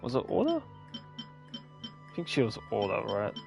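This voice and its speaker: A man's voice: on the low side, wildly swooping pitch, normal speed, vocal fry, a little mellow, faint music behind. A man giving casual, unscripted gameplay commentary in an offhand, rambling way. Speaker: gaming video host.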